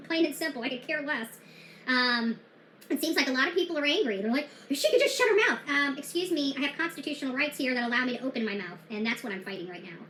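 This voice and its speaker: Woman commentator, high bright voice, blunt and defiant, loose off-the-cuff delivery, echoey room. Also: expressive, fast talker, a little hiss or hum. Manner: defiant and blunt, with many fillers and restarts. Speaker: true crime commentator. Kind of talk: casual talk to viewers.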